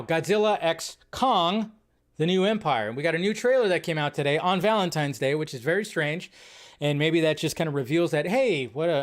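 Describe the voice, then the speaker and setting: A man's voice: on the high side, clear, resonant tone. A man hosting a casual live show, rambling through a reaction with frequent restarts and laughs. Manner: rambling, restarts a lot, laughs at his own jokes. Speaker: host.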